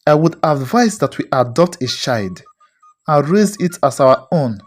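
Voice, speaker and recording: Man playing a soothing, earnest husband, expressive pitch. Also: a little slow. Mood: gentle, earnest. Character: calm, loving husband, middle-aged, earnest and soothing. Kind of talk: dramatised folktale dialogue.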